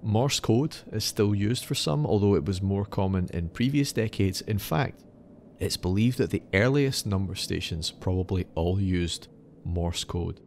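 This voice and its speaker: A man's voice: low-pitched, lively intonation, a little slow, darkish tone, slight background noise. A man hosting a podcast, narrating in an explanatory, slightly wry way that moves between scripted and casual. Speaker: podcast host.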